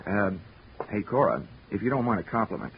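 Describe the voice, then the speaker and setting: An actor playing a cocky, wisecracking insurance investigator in 1940s radio detective style. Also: male voice, fairly low pitch, animated, a little fast, slight background noise. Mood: wry, casual. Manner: dry, wisecracking. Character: wry, cocky hard-boiled male insurance investigator. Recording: dull old radio recording with music.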